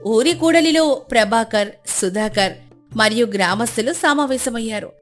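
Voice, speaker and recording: Female storyteller, slightly high voice, scripted moral-tale narration with music underneath. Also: normal speed.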